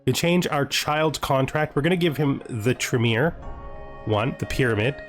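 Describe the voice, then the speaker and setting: A man's voice: medium pitch, normal speed. A man hosting a let's play, thinking aloud as he comments, with playful mock-menacing asides. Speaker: host of a gaming video series. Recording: music behind the voice. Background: music.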